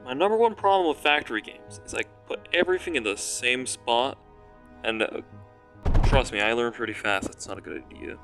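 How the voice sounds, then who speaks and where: A young man with a raspy voice, casually commenting on a factory-building game as he plays and rambling, self-mocking, while he thinks aloud. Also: mid-range voice, lively intonation, a little fast, fairly bright tone, faint music behind. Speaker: gaming commentator.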